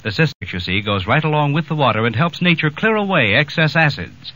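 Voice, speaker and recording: Guy doing old 40s radio ad, bright punchy voice, dull recording. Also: a little high, very animated, brisk. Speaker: radio commercial announcer. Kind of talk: scripted 1940s radio advertising.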